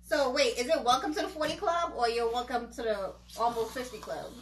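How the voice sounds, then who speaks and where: A woman in her forties co-hosting a podcast, chatting casually and teasing her co-host. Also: a little high. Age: 40s. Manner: teasing, chatty, quick to laugh. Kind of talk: casual chat. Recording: roomy with faint background noise.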